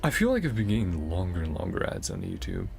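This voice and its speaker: A man's voice: sits low, wildly swooping pitch, quick pace, gravelly, a little mellow. A man streaming a game, chatting casually with his viewers as he reacts.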